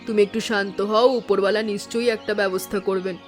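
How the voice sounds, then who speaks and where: A middle-aged woman voicing a kindly, steadfast village mother in an animated family drama. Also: mid-range voice, fast talker, natural voice. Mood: soothing. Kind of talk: animated moral story voice acting. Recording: faint music behind the voice.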